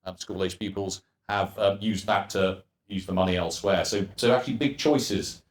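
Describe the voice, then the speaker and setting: A man speaking on a panel, explaining at length with many pauses and fillers. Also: deep voice. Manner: hesitant, many fillers and restarts. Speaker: economist panelist. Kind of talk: panel discussion.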